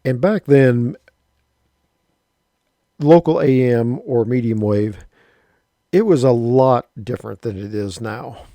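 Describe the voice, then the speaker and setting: A man's voice: medium pitch, takes it slowly, pauses often, dark tone, no room sound. A man in his fifties from Southern Illinois, telling a rambling, folksy story about his early radio hobby in a casual way. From Southern Illinois, USA. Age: 50s. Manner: rambling, folksy, with mild cursing and frequent restarts. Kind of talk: casual spoken reminiscence.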